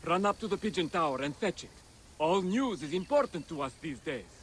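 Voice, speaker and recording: Peremptory game scholar, male actor: older, demanding, higher-set voice, very lively intonation, faint ambient chirring. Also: brisk, a little hiss or hum, close to an everyday voice. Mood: impatient. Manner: expressive, peremptory. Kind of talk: dramatic video game cutscene acting.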